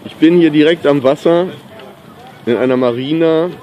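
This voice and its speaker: A man's voice: on the high side, normal speed, mellow. Chatty middle-aged man at a marina. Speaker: pop artist vlogging. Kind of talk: casual vlog to camera. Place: outdoors at a sunny marina. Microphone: handheld camera mic. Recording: faint outdoor noise.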